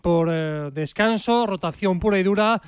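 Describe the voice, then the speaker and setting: Crisp high-voiced radio sports reporter. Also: male voice, normal speed, dry recording, clean studio sound. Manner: crisp, fact-listing delivery.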